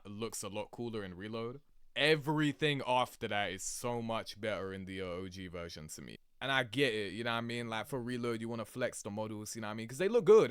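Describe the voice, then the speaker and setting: A man giving casual, slangy reaction commentary in an animated, exclamatory way, as host of a reaction video. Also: mid-range voice, dry recording, clean studio sound. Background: game cutscene music.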